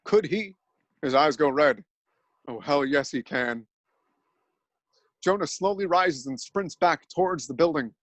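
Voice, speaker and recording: A man narrating an absurd story in a mock-dramatic, deadpan style. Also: fairly high pitch, a little slow, long pauses, no room sound.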